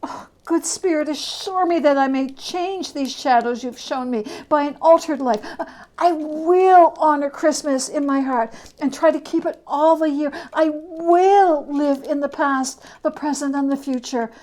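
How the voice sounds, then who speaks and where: A woman's voice: sits high, measured pace, a little mellow. A woman playing a repentant old miser man in a dramatic storybook reading, stammering and exclaiming with overwrought emotion. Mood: pleading, desperate. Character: repentant old miser man, pleading then giddy with joy.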